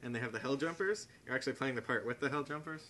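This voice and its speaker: Fast-talking young man, noisy room. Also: mid-range voice, pushed and bright. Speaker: podcast co-host. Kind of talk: casual chat about video games. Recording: amateur podcast, clear background noise.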